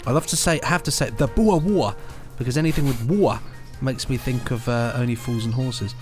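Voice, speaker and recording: A man's voice: mid-range voice, fairly quick, a little mellow, soft background music. A man hosting a podcast, chatty and playful as he presents news and reads out blurbs. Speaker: podcast host.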